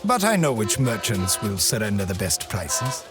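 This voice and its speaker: An actor playing a wry, sharp-tongued guide in an audio drama with music under him. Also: male voice, on the low side, very animated, moderate pace. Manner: wry, cutting.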